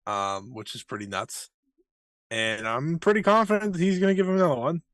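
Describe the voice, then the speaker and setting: A man's voice: on the high side, a little fast, fairly bright tone, dry recording, clean studio sound. A man co-hosting a wrestling podcast, talking casually and joking around with his partner. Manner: joking, throws in a mock British catchphrase. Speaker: podcast co-host.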